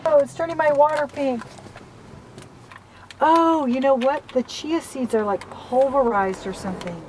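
A woman's voice: high-pitched, a little slow, a little mellow, slight background noise, low-quality recording. A woman in her fifties hosting a casual snack review in a parked car, chatty and enthusiastic. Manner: chatty, enthusiastic, exclaims over items. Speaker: host. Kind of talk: casual chat, product review. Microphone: camera mounted in car.

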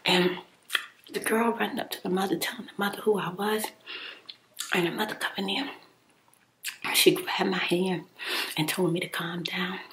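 A woman's voice: on the low side, steady tone, normal speed, pauses often, fairly bright tone, slight background noise, poor audio. A woman telling a personal story in a heated whisper, emphatic and exasperated, swearing and repeating herself in casual slang.

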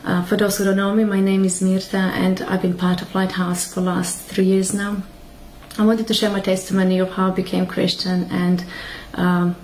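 A woman's voice: lowish voice, a little mellow, a little hiss or hum, poor audio. A woman, sharing her faith testimony to a webcam at home, hesitant with many fillers. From Croatia. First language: Croatian. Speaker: church member sharing testimony. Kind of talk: personal testimony to camera. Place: small room at home, indoors.

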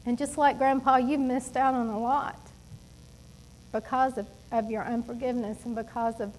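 A middle-aged woman delivers a sermon from a church pulpit. Her voice sits high, and she preaches with emphatic, animated energy and quick asides to the congregation. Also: slight background noise, rough recording. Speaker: pastor. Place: church stage at a wooden pulpit.

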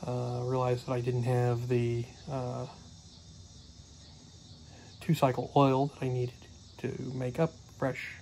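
A man's voice: medium pitch, vocal fry, darkish tone, slight background noise. A middle-aged man giving a casual daily vlog recap, rambling with many fillers, recorded on a phone camera close to his face in a small cluttered room. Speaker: homestead vlogger.

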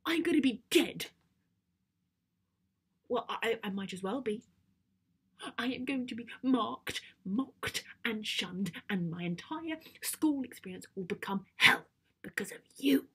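A woman's voice: on the high side, animated, unhurried, fairly bright tone, slightly rough, pitched above the performer's own voice, full character voice. A woman performing a comedic stage monologue as a dramatic, pleading girl of nearly sixteen, exasperated and whiny. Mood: desperate, melodramatic.

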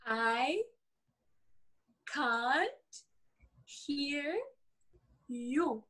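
A woman's voice: high-pitched, drawn-out words, a bit bright. A woman in her twenties talking casually on a video call from a room at home. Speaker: actor at an online table read.

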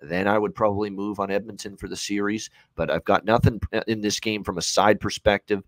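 A man's voice: fairly low pitch, fairly even intonation, fairly quick, no room sound, poor audio. A man in his thirties giving unscripted sports betting commentary as an analyst, emphatic and repeating his points. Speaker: sports betting analyst on a hockey preview show. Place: small home room, indoors, on a video call.

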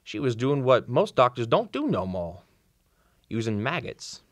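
Old country doc in a radio drama, folksy southern drawl, voice goes up and down a lot. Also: male voice, mid-range voice, sing-song, measured pace, no echo. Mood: folksy storytelling. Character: kindly old small-town Southern country doctor. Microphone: shared vintage-style studio microphone.

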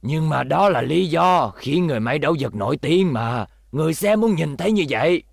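A man voicing a proud, calm elderly inventor in a dubbed cartoon, measured and self-satisfied. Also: a little high, creaky, husky, dry recording, studio quality, full character voice. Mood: smug.

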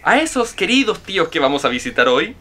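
An actor playing a flippant, idle, money-grubbing young fiancé in a radio drama. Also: male voice, high-pitched, very animated, a little fast, pushed and bright, heavily acted. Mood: breezy, scheming.

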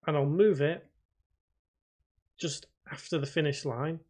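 Higher-voiced man narrating a software tutorial. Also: steady tone, unhurried. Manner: informal, thinking aloud step by step, addressing viewers as guys. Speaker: video tutorial presenter. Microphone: voice-over recorded at a computer.

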